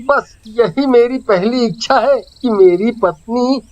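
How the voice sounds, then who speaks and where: A woman playing a poor, kind-hearted fisherman and father in a story, musing earnestly in drawn-out phrases. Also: a little high, darkish tone. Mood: earnest, hopeful.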